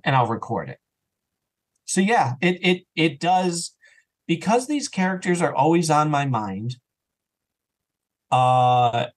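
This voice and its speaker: A man chatting casually as an interview guest, animated and often voicing his own thoughts as quoted self-talk. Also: on the high side. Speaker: podcast guest, audio drama creator and voice actor.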